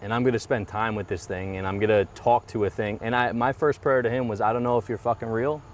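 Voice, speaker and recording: Male guest, 30s, low voice, sincere and reflective, casual outdoor chat. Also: steady tone, fast talker, slight background noise. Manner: earnest, reflective, repeats phrases. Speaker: guest, mortgage business owner. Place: outdoors by a parked car.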